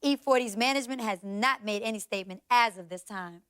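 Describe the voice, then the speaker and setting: A woman's voice: on the high side, brisk, very noisy, natural voice. An actress playing a composed female TV news reporter, reading a scripted report in a formal manner.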